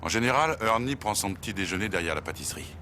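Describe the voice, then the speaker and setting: A man's voice: fairly low pitch, animated, fast, heavy background noise, lightly acted. A man dubbing a skeptical, brash city police inspector in a French film, speaking sarcastically. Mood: brash, sarcastic.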